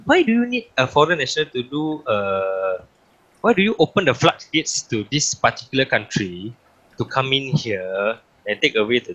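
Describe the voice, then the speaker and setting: A man's voice: medium pitch, fairly bright tone, dull audio. A Singaporean man talking in a casual podcast chat, impassioned and opinionated, often repeating himself.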